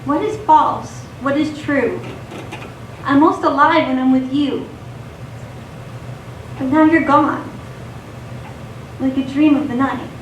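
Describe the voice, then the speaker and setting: Woman doing dreamy young girl poet, slow rhymes, high pitched, far from the mic in echoey theater. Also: steady tone. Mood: wistful, questioning. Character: poetic, wistful young female voice musing on life. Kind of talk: slow rhyming verse recitation in stage radio theatre. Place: theater stage, recorded from a distance. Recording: echoey theater, distant mic, faint noise.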